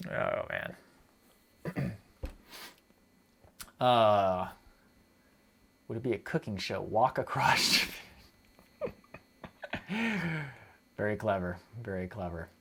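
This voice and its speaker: A young man chatting casually on a live stream, rambling quickly and breaking off his own sentences as he answers viewers. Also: lowish voice, very animated, creaky, fairly bright tone, husky. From United States. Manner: rambling, quick, self-interrupting with fillers and laughs.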